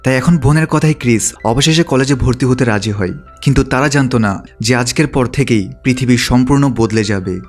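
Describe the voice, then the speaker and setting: Man, film recap narrator, scripted storytelling, rapid pace, average pitch, faint music behind. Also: darkish tone.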